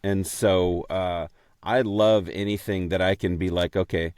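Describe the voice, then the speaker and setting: A man co-hosting a podcast in casual chat, speaking haltingly with a self-deprecating manner. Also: low-pitched, steady tone, a little mellow, smooth, dry recording, studio quality. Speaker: podcast co-host and amateur voice actor.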